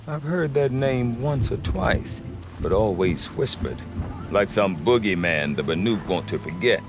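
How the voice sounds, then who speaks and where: A man's voice: lowish voice, expressive, measured pace, over music. An actor playing a cynical male merchant who grumbles sardonically about the locals in a game cutscene. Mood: sardonic. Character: cynical male trader, scornful of local folk.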